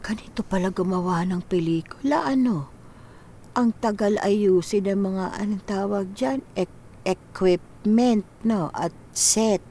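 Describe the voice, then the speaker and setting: An actress playing a humble, curious older nanny in a Filipino radio drama, halting and folksy as she gropes for words. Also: medium pitch, mellow, slight background noise, close to an everyday voice. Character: humble, curious older nanny woman, simple and warm-hearted.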